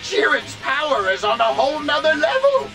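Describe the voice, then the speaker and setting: An actor playing an excitable, fretful old male deity in an anime video game, commenting on battles with expressive exclamations. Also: very high-pitched, moderate pace, exaggerated voice. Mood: alarmed. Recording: music behind.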